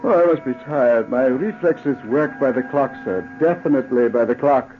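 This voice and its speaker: An actor playing a tired, courteous old scholar, hesitant and doddering, in 1940s radio-drama style. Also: male voice, mid-range voice, moderate pace, soft background music, close to an everyday voice. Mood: weary, kindly. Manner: doddering, fussy, hesitant, repeating himself. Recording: muffled old radio recording.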